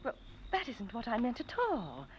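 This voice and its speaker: A woman's voice: fairly high pitch, huge pitch swings, normal speed, husky, full character voice. An actress playing a timid, fretful woman in an old-time radio mystery, hesitant and solicitous. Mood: concerned. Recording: muffled, noisy vintage radio drama recording.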